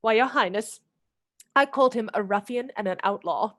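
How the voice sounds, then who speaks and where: A woman's voice: a little high, normal speed, a bit bright, no room sound, clean studio sound, natural voice. A woman playing a proud, witty noblewoman who mocks an outlaw with arch, theatrical scorn in a radio play. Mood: scornful. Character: proud witty noblewoman Marian, mocking and spirited.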